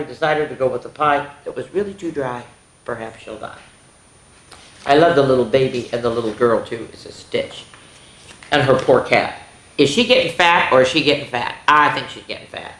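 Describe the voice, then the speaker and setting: A woman hosting a children's story time, reading a picture book aloud expressively, with exclamations and asides to the young listeners. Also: low voice. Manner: expressive, exclaiming, pausing to comment and ask the listeners. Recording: echoey room.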